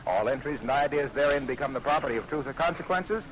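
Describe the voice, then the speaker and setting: A man's voice: mid-range voice, quick pace, a little hiss or hum, low-quality recording. A man reading a scripted contest announcement as a 1940s radio announcer, on a vintage broadcast recording.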